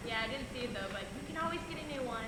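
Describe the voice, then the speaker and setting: A woman's voice: a little high, lively intonation, very fast, close to an everyday voice. A young woman playing a sassy, mocking friend in a stage comedy. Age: young adult. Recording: echoey hall, heavy noise, loud audience.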